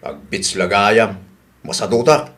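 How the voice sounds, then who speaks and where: A man's voice: lowish voice, slow, a bit bright. A man with an Ilocano Filipino accent playing a reluctant, grumbling husband in a radio comedy drama. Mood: lazy, reluctant. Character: reluctant, grumbling husband worn down by wife and mother-in-law.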